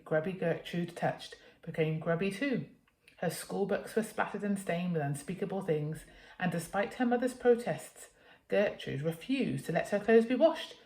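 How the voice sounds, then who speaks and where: A British woman reads a children's storybook with a teacher's expressive delivery. Her voice sits a little low. The recording has the echo of a reflective room. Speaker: teacher reading a story to pupils. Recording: echoey room.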